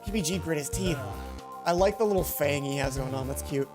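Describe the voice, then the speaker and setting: A woman's voice: deep voice, a little fast. A woman let's play host reading visual novel text aloud with casual asides, sometimes stumbling and correcting herself. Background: game music.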